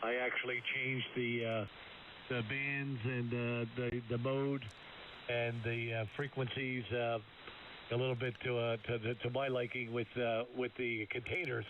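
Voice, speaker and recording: A man with a gravelly voice chatting casually over amateur radio, rambling and halting with lots of fillers. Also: mid-range voice, little pitch movement. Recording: tinny radio link with hiss.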